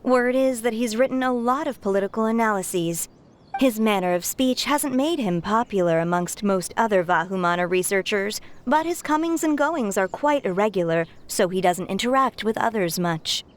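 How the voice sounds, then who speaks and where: A woman's voice: medium pitch, lively intonation, moderate pace, natural voice. A woman voicing an earnest, gossipy female scholar in a video game, speaking articulately. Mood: informative. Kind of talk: video game character acting.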